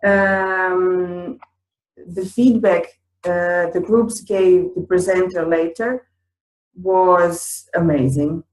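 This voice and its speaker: A woman from Croatia with a Croatian accent, a teacher adding remarks to a conference talk, speaking haltingly with many fillers. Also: mid-range voice, a little mellow, roomy sound, rough recording. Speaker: teacher and co-presenter.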